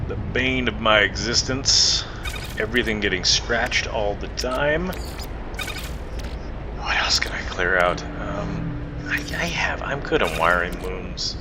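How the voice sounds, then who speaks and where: A man giving casual video game commentary, thinking aloud and mumbling to himself in a rambling, easily delighted way. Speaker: gaming channel host. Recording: very noisy, constant loud background rumble.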